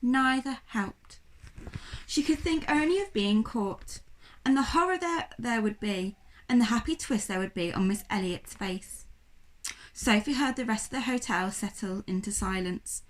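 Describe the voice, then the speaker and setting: A woman reading a children's story aloud like a primary school teacher, with expressive, dramatic narration. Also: fairly high pitch, unhurried, poor audio.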